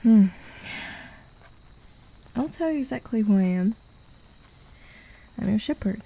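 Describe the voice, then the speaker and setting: Woman playing a possessive demon, ASMR roleplay, gravelly, dark, husky, teasing whispers, condescending. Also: medium pitch, animated, takes it slowly, exaggerated voice. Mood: domineering. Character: possessive, mocking female demon claiming a human. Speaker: voice actor.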